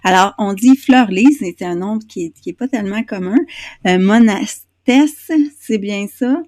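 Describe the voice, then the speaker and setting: A woman with a Québécois French accent hosting a casual interview, chatty and a little hesitant, pausing on fillers. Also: medium pitch, a little mellow, no room sound, clean studio sound. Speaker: interview host.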